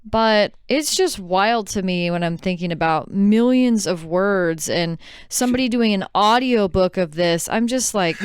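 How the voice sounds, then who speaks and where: A woman's voice: mid-range voice, animated, a little slow, few pauses, clean tone, dry recording, clean studio sound. A woman co-hosting a casual podcast, chatting and acting out little quotes as she tells stories. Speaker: podcast co-host.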